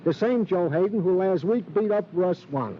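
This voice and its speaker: An actor playing an excitable police sergeant, the comic sidekick in a 1950s radio crime drama, with flowery, mock-formal, theatrical speech. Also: male voice, high-pitched, normal speed. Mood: gleeful, pompous. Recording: muffled, faintly noisy old radio recording.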